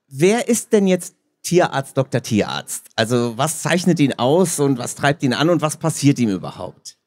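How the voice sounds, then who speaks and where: A man's voice: mid-range voice, very animated, moderate pace, a little mellow. A man hosting a live stage interview in a conversational way, putting questions to guests. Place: stage at a book fair. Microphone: handheld microphone.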